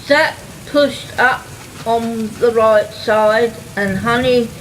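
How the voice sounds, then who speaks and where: A woman's voice: a little high, drawn-out words, slight background noise, rough recording. A woman narrating a scripted Halloween radio story in a dramatic style.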